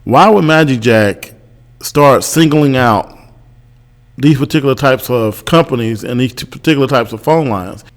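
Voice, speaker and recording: An American man giving unscripted opinion commentary as a host, in a measured, explanatory way, often repeating himself. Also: medium pitch. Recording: long hall-like reverb.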